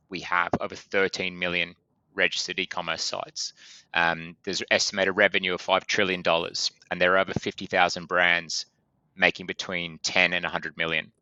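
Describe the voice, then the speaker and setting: A man with a strong Australian accent, a guest on a business podcast, explaining in a brisk way with many fillers. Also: sits low, pushed and bright, no echo, muffled recording. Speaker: guest from a funding company. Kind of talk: podcast interview.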